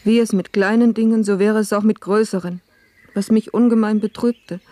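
Woman acting sorrowful housemaid, dutiful and put-upon, mellow dark tone, plaintive, formal old-fashioned phrasing, radio play. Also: mid-range voice, fairly even intonation, moderate pace, no room sound, natural voice.

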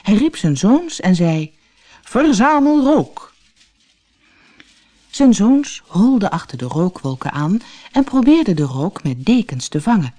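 A storyteller reading a children's fairy tale from a script in an expressive, deliberate way, as for an audiobook. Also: mid-range voice. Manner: expressive, deliberate read-aloud delivery.

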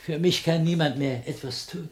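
An actor playing an odd, anguished little explorer in a radio crime drama, speaking in hesitant, broken phrases. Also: male voice, a little high, moderate pace, lightly acted. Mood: anguished, resigned. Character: odd, tormented little man, an explorer, guilt-ridden. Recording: noisy vintage radio recording.

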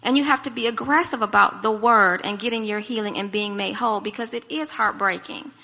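A woman's voice: medium pitch, a little fast, vocal fry, bright, forceful tone. An American woman giving earnest, instructive advice as a speaker at a marriage conference, in a conversational preaching style. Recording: reverberant hall sound.

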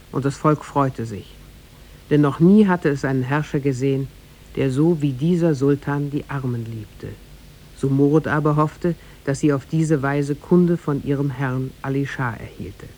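A man narrating a tale in a 1950s German radio play, formal and measured. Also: a little high, warm tone, lightly acted. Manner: formal, measured storybook delivery.

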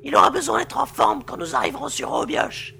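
A man's voice: very high voice, wildly swooping pitch, brisk, bright, forceful tone, a little hiss or hum, pitched above the performer's own voice, exaggerated voice. A man voicing a brutal male pirate chief who mocks and threatens his prisoners in an audio drama reading. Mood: gloating. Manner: gravelly, mocking, leering.

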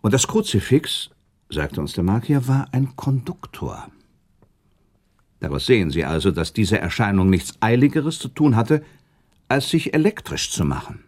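A man's voice: fairly low pitch, sing-song, moderate pace, darkish tone. A man playing a noble, sceptical prince in a German radio play, arguing insistently and reasoning with rhetorical questions. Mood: reasoning, explaining.

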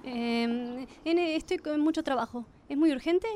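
An actress playing an earnest, polite, hesitant young niece in a radio drama. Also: female voice, high voice, unhurried, dark tone, a little hiss or hum. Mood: polite, uneasy.

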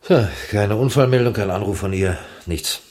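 An actor playing an inquisitive circus boss in a radio crime drama, colloquial, probing and a little hesitant. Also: male voice, on the low side, normal speed, a little mellow, close to an everyday voice. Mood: playful, probing.

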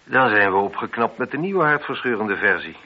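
A low-voiced police inspector in a radio drama, sceptical and sardonic. He questions drily and gives brisk orders in a husky voice, on a muffled recording. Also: animated. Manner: dry, sardonic, brisk with subordinates. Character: sceptical, probing, commanding male police inspector. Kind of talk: radio play acting.

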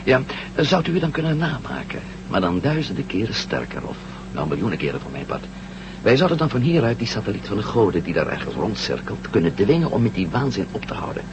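An old radio drama recording, dull and clearly noisy. a bold, quick-witted astronaut hero. His pitch moves freely as he persuades and takes decisions. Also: sing-song. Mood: pressing. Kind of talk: Dutch radio drama acting.

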